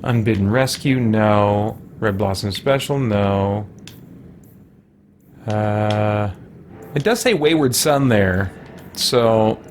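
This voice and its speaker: A man giving casual let's play commentary, muttering to himself as he plays. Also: on the low side. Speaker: gaming channel host. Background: music.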